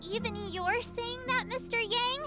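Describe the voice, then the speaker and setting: A woman's voice: very high voice, measured pace, music in the background. A woman voicing an anxious, girlish young companion in a video game. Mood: anxious, questioning.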